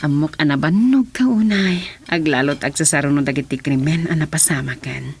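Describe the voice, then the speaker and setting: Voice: medium pitch, normal speed, slightly rough. An actor playing an earnest, dutiful official in a radio drama, with an Ilocano Filipino accent.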